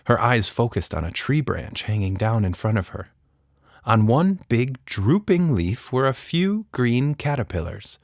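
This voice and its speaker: Slow, expressive male children's storyteller. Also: medium pitch, no room sound, studio quality. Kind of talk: scripted children's story narration.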